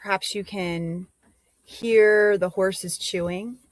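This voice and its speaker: A woman leading a guided meditation, giving gentle instructions with pauses between phrases. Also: medium pitch, steady tone, no room sound.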